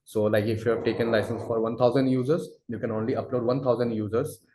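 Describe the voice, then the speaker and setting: A man answering webinar questions as a product expert, explaining in a halting, wordy way full of verbal fillers. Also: medium pitch, fairly even intonation, noisy background. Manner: halting, wordy, repeats filler phrases "you know". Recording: roomy, echoey webinar audio.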